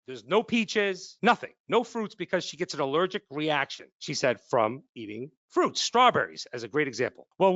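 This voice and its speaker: A man with a fairly high-pitched voice hosts a casual podcast chat. He tells personal stories in an animated way and turns them into questions. The recording is very dry and a bit dull. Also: no room sound. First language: English and Polish speaker. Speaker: podcast host, company CEO. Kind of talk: casual chat, anecdotal interviewing.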